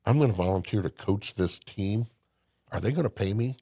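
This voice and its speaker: Older man from Indiana, low gravelly voice, folksy storyteller, dry close mic. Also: moderate pace, dark tone, no room sound. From Indiana, USA. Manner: folksy storyteller, emphatic, with restarts. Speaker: podcast guest. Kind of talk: casual conversation.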